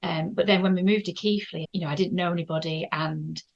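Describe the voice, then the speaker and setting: Gentle, hesitant woman. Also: on the low side, steady tone, brisk, creaky, dull audio. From North Yorkshire, England. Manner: shy, gentle, hesitant with many ums. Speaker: guest artist. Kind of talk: casual interview chat.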